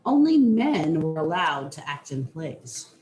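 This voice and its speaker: A woman reading a children's nonfiction book aloud with deliberate, teacherly phrasing. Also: deep voice, sing-song, a little mellow, slight background noise, rough recording. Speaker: reader.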